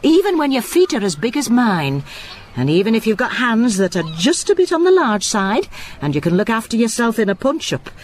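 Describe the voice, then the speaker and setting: A woman's voice: a little high, sing-song, fairly quick, slight background noise. An actress playing a cheerful retired landlady who narrates her own story in a radio drama, cosy and wry. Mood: confiding. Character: Brenda, a cheerful retired seaside landlady with a secret. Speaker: narrator and lead character.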